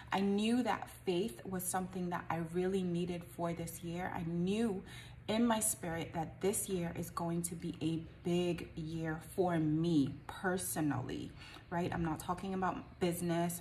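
A woman's voice: on the low side, a little mellow, slight background noise. A woman in her forties, a life coach, giving an earnest, encouraging talk straight to the camera from a small home office and asking rhetorical questions. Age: 40s.

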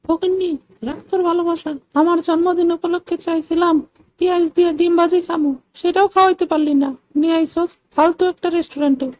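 Guy doing a super high squeaky cartoon girlfriend, scornful and scolding, talks fast. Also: little pitch movement, darkish tone, dry recording, rough recording. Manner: scolding, contemptuous. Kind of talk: comic cartoon dialogue.

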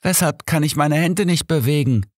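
A man's voice: on the high side, a little mellow, dry recording, voice pushed up, lightly acted. Scared old blind man done by a male narrator, voice pushed way up, stammering, whimpery, dry audiobook sound. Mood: frightened, whimpering. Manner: stammering, fearful, halting.

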